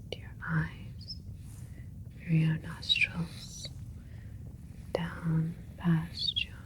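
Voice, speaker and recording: A woman playing a gentle alien scientist in a whispered ASMR sci-fi roleplay, explaining things in a soothing, clinical way. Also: deep voice, low-quality recording. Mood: gentle, proud. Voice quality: breathy whisper throughout. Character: gentle alien scientist doctor, proud of a hybrid creation.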